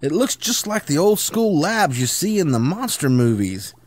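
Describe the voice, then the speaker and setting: Comedy radio drama villain, male actor: excitable scheming gang leader, grandiose, highish voice, very animated. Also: moderate pace, a little hiss or hum. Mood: eager. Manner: theatrical, grandiose.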